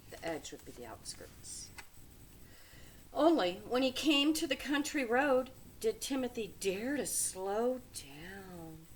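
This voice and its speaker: A woman reading a children's picture book aloud with dramatic, deliberate phrasing, speaking to young listeners. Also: mid-range voice, rough recording. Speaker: read-aloud storyteller. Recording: steady background noise.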